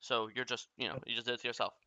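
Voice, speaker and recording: A man's voice: mid-range voice, flat delivery, pushed and bright, no echo, highs cut off. A young man coaching a player through a game replay, talking bluntly and often swearing as he explains. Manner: blunt, profane, rapid explaining.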